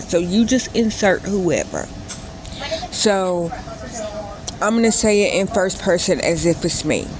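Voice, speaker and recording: A woman's voice: on the low side, low-quality recording. A woman in her late thirties telling a personal story in a casual vlog, rambling and often stopping to correct herself. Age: 30s. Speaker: vlogger.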